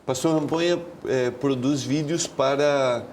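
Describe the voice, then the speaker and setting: A Brazilian man with a Brazilian Portuguese accent hosting a Bible study talk show, animated and full of tag questions. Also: a little slow, a little hiss or hum. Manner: animated pitch jumps, frequent tag questions. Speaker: TV Bible study host, pastor. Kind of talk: casual studio talk.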